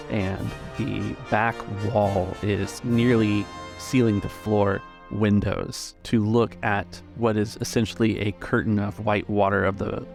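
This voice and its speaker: A man narrating a tabletop game as game master, describing scenes in halting, searching phrases. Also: lowish voice, gravelly.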